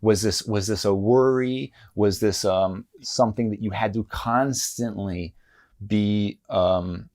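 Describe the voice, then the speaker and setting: A man hosting an interview podcast, asking long questions in an earnest, halting way, often repeating words as he works toward a point. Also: fairly low pitch, darkish tone. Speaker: podcast host.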